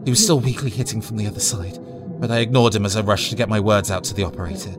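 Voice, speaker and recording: A man's voice: lowish voice, fast talker, music in the background. A man narrating a scripted first-person horror story in a dramatic, tense storytelling manner.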